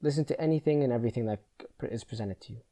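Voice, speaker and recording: A man with a soft, mellow, relaxed voice guides a meditation. His pitch sits in an average male range. He gives gentle, measured instructions as a teacher would.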